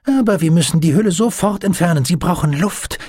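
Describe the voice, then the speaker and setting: A man voicing an eccentric, excitable naval doctor in an audiobook, with an eager, enthusiastic manner. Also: mid-range voice, moderate pace, husky, no room sound, studio quality. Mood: delighted.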